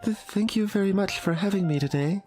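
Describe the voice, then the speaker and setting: A woman's voice: mid-range voice, brisk, warm tone, soft background music, close to an everyday voice. A woman voicing a shy, polite, bashful anime schoolgirl with a hesitant stammer, in a visual novel. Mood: bashful, tender. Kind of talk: visual novel character voice-over.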